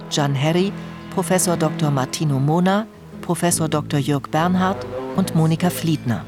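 An announcer reading radio play credits in a measured, formal, scripted manner. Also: mid-range voice.

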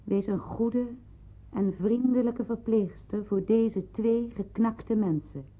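Radio drama nurse: unhurried, emphatic, kindly yet admonishing; thin, narrow-band vintage sound. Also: female voice, a little high. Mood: grave, urging. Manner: deliberate, earnest, emphatic. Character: kindly, grave senior nurse, motherly and admonishing. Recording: muffled narrow-band old radio recording.

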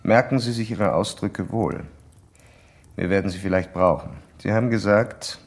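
Low-voiced, formal doctor. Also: male voice. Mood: calm, insistent. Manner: formal, precise, bookish, insistent questioning. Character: probing, self-assured doctor and psychoanalyst, old-time Vienna. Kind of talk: radio play acting with first-person case narration.

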